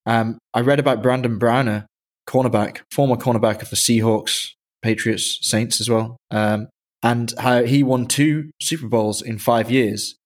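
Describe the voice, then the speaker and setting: A man's voice: medium pitch, fairly even intonation, measured pace. A man in casual conversation as a podcast co-host. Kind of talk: casual chat.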